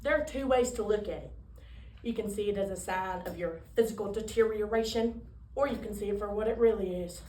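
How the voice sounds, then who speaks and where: A woman voicing a cheeky, romantic ninety-two-year-old tap dancer in a comic stage monologue, telling her story with theatrical, drawn-out punchlines. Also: mid-range voice, dark tone, lightly acted. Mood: cheeky, boastful. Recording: roomy, distant microphone, faint noise.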